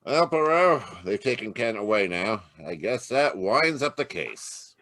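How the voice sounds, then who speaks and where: A man's voice: lowish voice, sing-song, a little slow, fairly bright tone, heavily acted. A man voicing a brash, plain-talking police inspector who speaks in a casual, slangy way in a live online radio drama.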